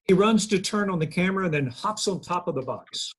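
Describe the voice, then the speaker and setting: Man in his thirties, scripted narration, raspy, highish pitch, video call. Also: lively intonation, fairly quick. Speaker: narrator reading stage directions. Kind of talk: scripted narration at a table read. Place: living room, video call.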